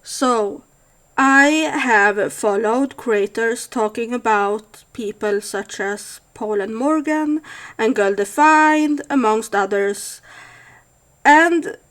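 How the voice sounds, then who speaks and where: A woman's voice: fairly high pitch, takes it slowly, a bit bright. A woman in her thirties from Sweden with a Swedish accent, speaking thoughtfully to camera with frequent hesitations. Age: 30s. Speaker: vlogger. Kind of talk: casual monologue to camera.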